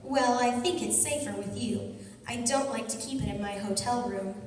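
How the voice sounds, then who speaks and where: A woman's voice: a little high, moderate pace, darkish tone, a little hiss or hum, lightly acted. A woman playing a coaxing, adoring crook's accomplice, sweet-talking in an old-time radio crime drama. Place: live stage performance in a hall. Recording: very echoey hall, distant mic.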